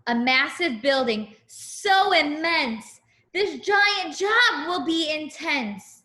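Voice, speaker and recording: A woman's voice: high voice, expressive, slow, sharp tone, roomy sound. A woman teacher reading a picture book aloud to young children, expressively, with simple asides to her listeners.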